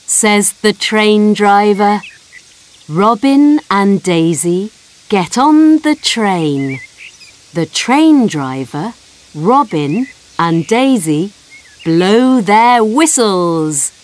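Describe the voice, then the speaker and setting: Woman narrator, storybook for toddlers, unhurried sing-song read, mid-pitched. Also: expressive. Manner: very slow, clear, sing-song reading. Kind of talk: slow storybook narration for small children.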